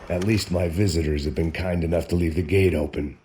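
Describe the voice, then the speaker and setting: An actor plays a hard-bitten ex-cop narrating his own story in a video game. His voice-over is extremely deep, weary and dryly sardonic, in classic noir style. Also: steady tone, fairly quick, dark tone, a little hiss or hum, lightly acted. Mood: grim.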